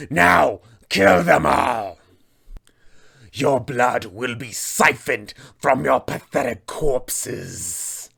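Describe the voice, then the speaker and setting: A man voicing a dying, menacing serpent-folk warlord for a tabletop fantasy game, speaking with grandiose, drawn-out menace. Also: mid-range voice, vocal fry, a bit bright, breathy edge, heavily acted. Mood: menacing, scornful.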